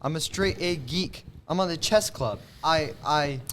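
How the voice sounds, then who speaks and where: A teenage young man playing a flustered, nerdy straight-A high school boy in an amateur comedy cold read. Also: unhurried, close to an everyday voice. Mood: flustered, protesting. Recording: noisy room, off mic, clicks.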